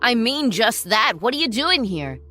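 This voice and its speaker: A woman's voice: on the high side, big pitch swings, normal speed, a bit bright, soft background music. Smug jerk of an ex-husband, talks down to everyone, woman voicing him. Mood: sneering. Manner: sneering, condescending. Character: smug selfish ex-husband, middle-aged man.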